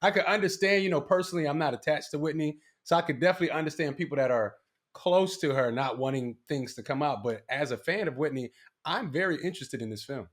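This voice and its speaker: A man with a high voice chats casually as a co-host. He is playful and quick to laugh. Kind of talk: casual talk-show chat.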